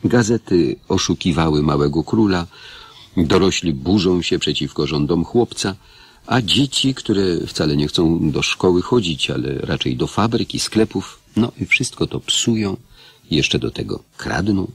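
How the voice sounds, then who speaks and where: A man's voice: low voice, animated, a little slow, husky, no echo. A man narrating a radio play introduction in a dramatic storytelling style.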